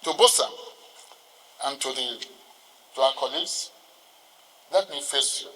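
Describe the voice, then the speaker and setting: An older man with a Nigerian accent gives a formal ceremonial speech, exhorting his listeners deliberately with emphatic pauses. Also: mid-range voice, bright, forceful tone, slight background noise. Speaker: senior lawyer addressing a ceremony. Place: large indoor courtroom. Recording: reverberant hall with long echo.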